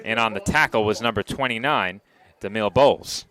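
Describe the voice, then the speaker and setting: A man calling a live football game play-by-play, brisk and precise with a lightly wry touch. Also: fairly low pitch, sharp tone, rough recording. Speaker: play-by-play announcer.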